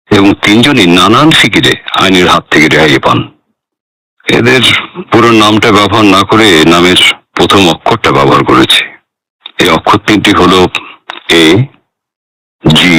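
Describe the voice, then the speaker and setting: Elderly psychiatrist, radio drama, formal, measured, bright low voice, very dry sound. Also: male voice, no room sound, full character voice, rough recording. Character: elderly male psychiatrist and former hunter, composed, principled.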